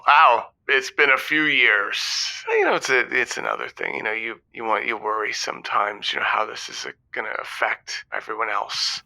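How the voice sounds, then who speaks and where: A man playing a hesitant, evasive middle-aged father who calls a comedy show, halting and nervous. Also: fairly low pitch, sing-song, creaky, sharp tone, dry recording, heavily acted. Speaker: caller on a call-in show. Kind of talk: improvised comedy call-in.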